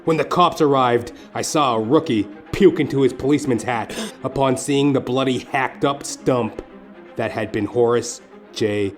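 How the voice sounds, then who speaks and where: Casting: male actor as hard-boiled gumshoe narrator, wry and world-weary, medium-pitched, for a comic noir audio play. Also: moderate pace, faint music behind, lightly acted. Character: wry, world-weary, down-on-his-luck male private detective.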